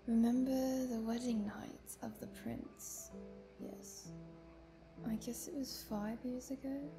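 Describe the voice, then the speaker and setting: A woman's voice: a little high, steady tone, slow, over music. A woman playing a chatty, playful vampire prisoner who teases and tells her woes theatrically in a roleplay monologue. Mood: teasing, impatient. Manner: playful, talkative, teasing, theatrical. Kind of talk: dramatic roleplay monologue addressed to the listener.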